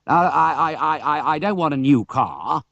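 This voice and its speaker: A British man voicing a keen, stammering gentleman racing driver in a storybook. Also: on the high side, expressive, moderate pace, dry recording, dull audio, voice pushed up. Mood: hesitant, eager. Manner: stammering, exclamatory.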